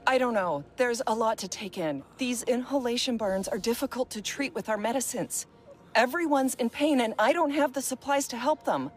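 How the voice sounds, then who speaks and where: Game casting: actress as a strained woman medic, higher register, anxious and clipped delivery. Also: normal speed, close to an everyday voice. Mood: worried, stretched thin. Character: strained, overwhelmed female field medic. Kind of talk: video game character acting.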